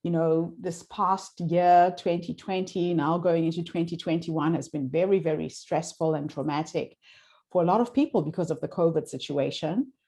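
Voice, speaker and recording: Woman, Shona speaker, interview host, encouraging, measured, lowish voice. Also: dark tone. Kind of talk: interview hosting.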